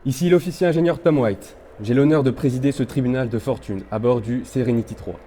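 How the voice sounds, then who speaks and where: Mellow-voiced, uneasy male space engineer played by a man. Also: mid-range voice, a little fast, slight background noise, close to an everyday voice. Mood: formal, grave. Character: male ship engineer, anxious officer under mounting strain. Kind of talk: amateur audio drama, recorded log.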